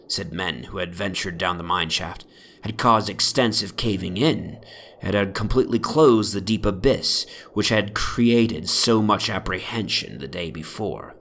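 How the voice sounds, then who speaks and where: A man giving a solemn, brooding dramatic reading of a first-person horror story, in literary phrasing that often sinks into a hush. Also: lowish voice, slight background noise. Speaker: narrator, dramatic reader. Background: ambient soundscape.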